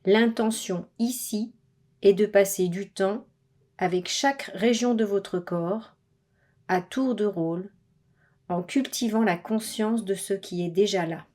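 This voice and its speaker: A woman leads a guided body scan as a meditation guide. Her voice sits at a medium pitch. She gives scripted instructions in a measured way, pausing between phrases. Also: a little mellow.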